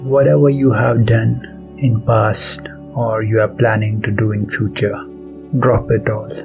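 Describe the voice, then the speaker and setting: A man leading a guided meditation as its host, speaking softly and deliberately in short phrases with pauses between them. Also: fairly low pitch, flat delivery, creaky, rough recording. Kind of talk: guided meditation, soft spoken instruction. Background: background music.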